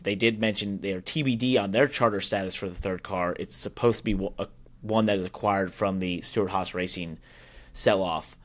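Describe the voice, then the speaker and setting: A man with a fairly low voice co-hosts a motorsports show. His delivery is casual and conversational, in the style of sports talk between colleagues. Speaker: motorsports podcast co-host.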